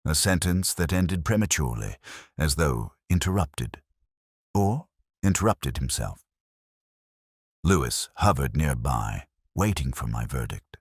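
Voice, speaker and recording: A man narrating a scripted first-person detective story in a measured, literary, brooding manner. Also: a bass voice, very animated, slightly rough, no room sound, clean studio sound.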